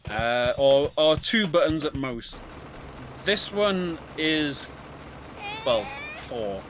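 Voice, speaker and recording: Slightly high-voiced man explaining over game music. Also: lively intonation, drawn-out words. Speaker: speedrun commentator. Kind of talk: casual explanatory game commentary. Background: video game music.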